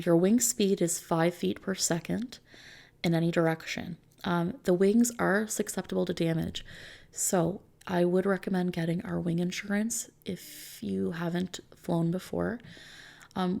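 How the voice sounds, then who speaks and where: A soft-spoken woman in an ASMR roleplay, gently and reassuringly explaining things like a service consultant, with breathy edges that drift toward a whisper. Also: lowish voice. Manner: gentle, reassuring, checking in with the listener.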